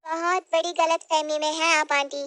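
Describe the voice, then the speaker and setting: A woman's voice: very high voice, little pitch movement, normal speed, fairly bright tone, dry recording, voice pushed up. A woman voicing an outspoken protective sister. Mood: earnest. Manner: direct and indignant.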